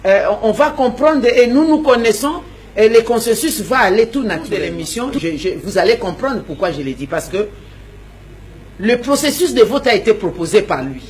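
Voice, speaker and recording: A woman's voice: fairly high pitch, animated, brisk, darkish tone, a little hiss or hum. A woman, an opposition politician answering interview questions emphatically, repeating herself and stammering while she searches for words. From Democratic Republic of the Congo.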